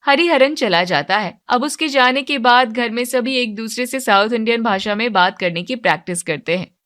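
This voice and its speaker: A woman's voice: fairly high pitch, moderate pace, fairly bright tone. A woman narrating a scripted moral story as a storyteller.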